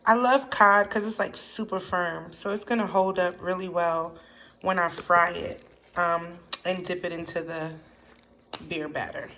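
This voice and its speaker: A woman's voice: fairly low pitch, fairly even intonation, a little slow, pushed and bright. A woman hosting a casual home cooking video, thinking aloud while she cooks one-handed in her kitchen. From Haitian family background. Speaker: home cook showing a recipe. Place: home kitchen with running tap. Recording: background noise.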